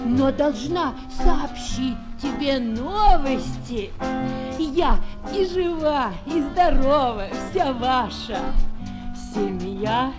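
A woman's voice: high-pitched, drawn-out words, loud music behind the voice. An actress playing an elderly little creature who carries her house on her back, lilting half-sung rhymes in a children's musical play. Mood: lilting, gossipy.

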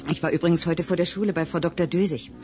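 A woman playing a firm, matter-of-fact, gently reassuring mother in a children's audio drama. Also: on the low side, fairly even intonation, fast, faint music behind, natural voice.

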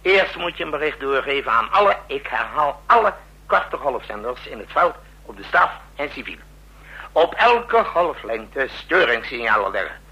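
An actor playing a commanding, brusque president in a Dutch radio drama, barking orders and grumbling asides. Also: male voice, medium pitch, big pitch swings, normal speed, sharp tone, breathy edge, full character voice, rough recording. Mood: brisk, commanding.

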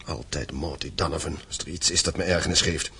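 A man's voice: rumbling low, fairly even intonation, brisk, creaky, bright, forceful tone, husky, exaggerated voice. An actor in a radio play as a stern, proud father and landowner, speaking with authority. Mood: stern, irritated. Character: stern, proud father and mine-owning gentleman.

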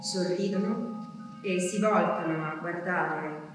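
An actress playing an anguished woman who waits for her deported husband, delivering a halting theatrical diary monologue that moves between hushed words and cries. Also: lowish voice, music in the background. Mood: subdued, watchful. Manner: halting, repeating words, swinging from hushed to cried out. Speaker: stage actress performing a monologue. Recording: echoey hall from far off, noisy.

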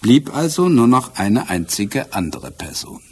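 An actor playing a shrewd, self-assured police inspector in a crime radio drama, explaining in a measured, dryly ironic way. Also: male voice, mid-range voice, very animated, darkish tone, husky.

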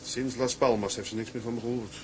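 A man's voice: medium pitch, fairly even intonation, fast, a little mellow, a little hiss or hum, close to an everyday voice. An actor playing a troubled, homesick young seaman who broods and talks to himself in a radio drama. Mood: anguished, brooding. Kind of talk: Dutch radio drama monologue and dialogue.

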